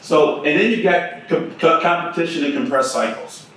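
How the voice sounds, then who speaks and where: A man's voice: fairly high pitch, moderate pace, a bit bright, a little hiss or hum. A middle-aged man giving a conversational keynote talk at a conference and putting questions to the audience. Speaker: keynote speaker. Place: conference room with projector screen. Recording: echoey room, from a distance.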